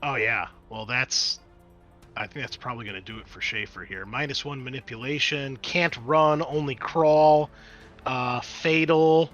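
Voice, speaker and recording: A man acting as game master, narrating a tabletop roleplaying game in an animated, exclamatory way with casual swearing. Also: mid-range voice, unhurried, dull audio, soft background music.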